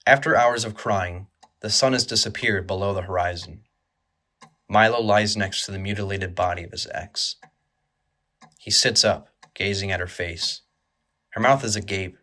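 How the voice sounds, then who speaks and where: A man reads dramatic narration for a horror audio drama. His voice is on the low side with a tense, bright edge. He delivers it in a grave, measured way.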